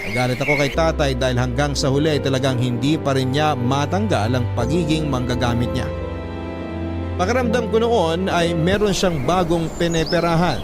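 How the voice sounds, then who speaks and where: A Filipino man narrates a scripted personal drama in the first person. His pitch is mid-range, and loud music runs under his voice.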